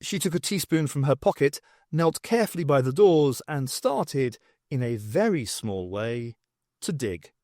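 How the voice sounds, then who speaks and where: A man's voice: medium pitch, sing-song, measured pace, a little mellow, no room sound, studio quality. A man reading scripted, dramatic narration as an audiobook narrator.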